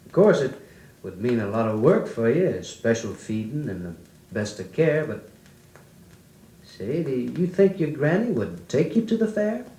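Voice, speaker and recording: Slow, folksy country blacksmith uncle. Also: male voice, mid-range voice, big pitch swings, warm tone. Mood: easygoing, kindly. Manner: drawling, unhurried, folksy. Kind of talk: old radio drama acting. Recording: vintage record, roomy, music behind.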